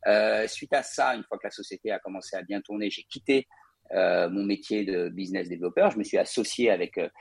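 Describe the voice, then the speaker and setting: A man's voice: on the low side, fairly quick, gravelly, breathy edge, no echo. A man from Paris, cofounder of a real estate company, telling his career story as an interview guest with frequent hesitation fillers. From Paris, France. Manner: fluent, many hesitation fillers.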